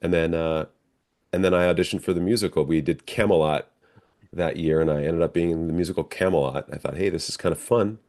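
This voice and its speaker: An American man giving conversational, reflective interview answers as a guest, explaining things in a measured, step-by-step way. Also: sits low, fairly even intonation, a little mellow. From northern Minnesota, United States. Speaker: interview guest, professional stage actor.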